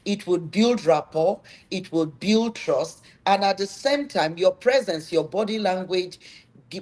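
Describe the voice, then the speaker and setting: Slow, measured woman host. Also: fairly low pitch, darkish tone, rough recording. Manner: measured, motivational delivery. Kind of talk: conversational live-stream talk.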